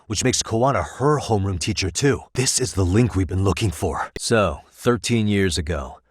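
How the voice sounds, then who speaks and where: A man in his thirties voicing a calm, analytical private detective in a video game, speaking in a measured, conversational way. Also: lowish voice, slightly rough, no echo, studio quality. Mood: pensive, focused. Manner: measured, methodical, reasoning aloud. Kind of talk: English video game voice acting, conversational dialogue.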